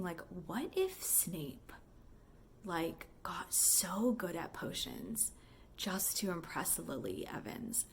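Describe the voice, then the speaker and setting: A woman's voice: medium pitch, creaky, darkish tone, slight background noise. A woman talking in a casual, chatty monologue like a voicemail, musing and conversational with lots of filler words such as "like". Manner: musing, conversational, heavy use of "like" and "you know". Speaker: high school English teacher and book fan.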